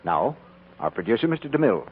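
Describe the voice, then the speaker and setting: A man's voice: mid-range voice, very animated, fast, husky. A man announcing in scripted 1940s radio commercial style, on a dull, hissy old recording.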